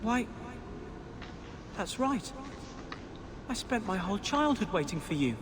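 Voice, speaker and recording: A man's voice: high-pitched, very animated, measured pace. An actor playing a trusting, distressed boy in a fantasy game, pleading and emotional. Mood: hurt, confused. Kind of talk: fantasy video game acting. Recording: noisy game audio.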